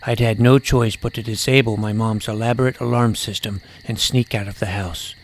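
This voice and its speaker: A man playing an earnest, self-important junior high superhero who narrates his own adventure with mock-dramatic delivery. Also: steady tone, normal speed, slight background noise, natural voice. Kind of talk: scripted first-person story narration.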